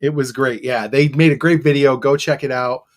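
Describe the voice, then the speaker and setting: A woman hosting a casual livestream from a basement, talking loudly into one shared table mic and greeting viewers by name. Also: a bass voice, steady tone, moderate pace. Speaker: show host. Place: basement studio. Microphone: one shared table microphone.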